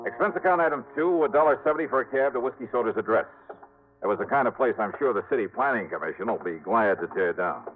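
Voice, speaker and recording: An actor playing a shrewd, brisk, hardboiled investigator in 1950s radio detective drama, wry and clipped. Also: male voice, medium pitch, expressive, close to an everyday voice. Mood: wry narration. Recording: vintage 1950s radio, narrow band, music behind.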